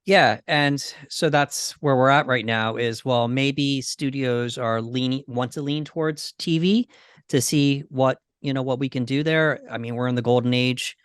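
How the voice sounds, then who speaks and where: A man co-hosting a podcast in casual discussion, thinking aloud in a halting way. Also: medium pitch, fairly even intonation, clear, resonant tone, no room sound, studio quality. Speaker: podcast co-host. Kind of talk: casual discussion of games and movies.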